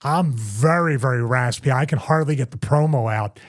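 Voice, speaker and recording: A man's voice: medium pitch, normal speed. A middle-aged man hosting a casual podcast, telling long rambling stories with restarts and repeats. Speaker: host, former wrestler.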